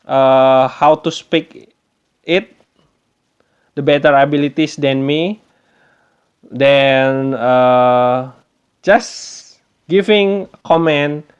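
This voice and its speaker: A man from Indonesia speaking English as a second language, commenting on a game walkthrough with many pauses while searching for words. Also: fairly high pitch, smooth, lo-fi sound. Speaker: gameplay youtuber.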